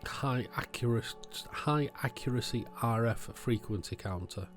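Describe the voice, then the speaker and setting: A man's voice: medium pitch, moderate pace, soft background music. A man hosting a hobby electronics video, giving casual, matter-of-fact commentary as he thinks aloud while handling and testing things.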